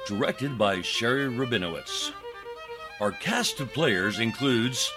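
A man announcing scripted radio play credits as narrator. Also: on the low side. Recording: clear music under the voice.

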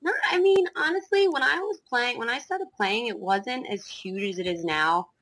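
A woman's voice: a little high, normal speed, a bit bright, dry recording. A young woman from South Jersey with a slight New Jersey accent, talking casually as a guest coach, with frequent ums and restarts. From South Jersey, USA. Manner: hesitant, many ums and restarts. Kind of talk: casual interview chat.

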